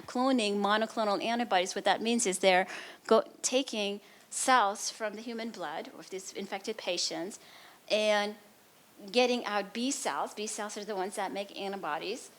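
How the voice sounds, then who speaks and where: A woman from Nepal, a researcher, giving a public science talk and answering audience questions in an earnest, explanatory way. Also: a little high. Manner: earnest, explanatory, frequent restarts. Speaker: immunology researcher.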